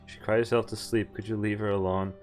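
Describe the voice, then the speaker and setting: A man doing casual game-stream commentary, reading dialogue aloud and thinking out loud in informal, sweary language. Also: lowish voice, flat delivery, fairly quick, faint music behind.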